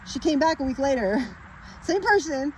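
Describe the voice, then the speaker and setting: A woman's voice: sits high, lively intonation, moderate pace, slight background noise, poor audio. Rambling, emphatic woman in her late thirties. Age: late 30s. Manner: rambling and emphatic, many fillers. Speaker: spiritual vlogger. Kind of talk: casual teaching monologue.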